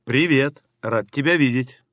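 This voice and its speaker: A man reading phrases for a language course, one by one with pauses, in a careful, clearly enunciated delivery. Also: mid-range voice, fairly bright tone, no echo, clean studio sound. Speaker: phrasebook reader.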